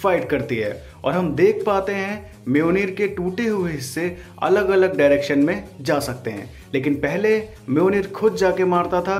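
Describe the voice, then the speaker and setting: A man hosting a voice-over breakdown in an informal, slangy way, as commentary for viewers. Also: high voice. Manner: informal, crude slangy joking. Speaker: video host.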